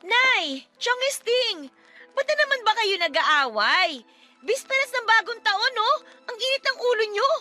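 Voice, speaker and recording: A woman's voice: very high-pitched, very animated, measured pace, sharp tone, full character voice. A woman playing a meek, hardworking young adult daughter in a radio drama, deferential and respectful. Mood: distressed, pleading. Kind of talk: Tagalog radio drama acting.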